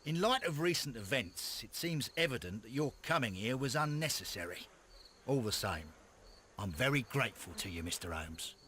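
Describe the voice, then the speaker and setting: An actor playing a brusque, eager Victorian police inspector in a video game, with a British accent and an authoritative, blustering manner. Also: male voice, mid-range voice, very animated, normal speed, bright, forceful tone, slight background noise. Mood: formal. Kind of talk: scripted video game acting.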